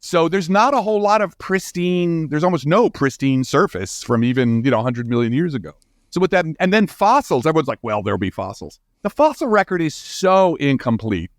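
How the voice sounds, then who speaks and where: A man's voice: on the high side, no echo, studio quality. A man speaking as a scientist guest in an interview, explaining ideas informally and animatedly, often restarting his phrases. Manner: animated, informal, halting restarts with frequent 'you know'.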